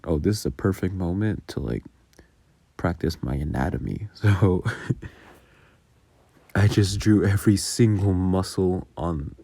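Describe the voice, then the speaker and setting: A man giving an informal, halting, self-deprecating behind-the-scenes commentary as an audio creator, talking casually close to the microphone. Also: deep voice, gravelly, dark tone, no echo.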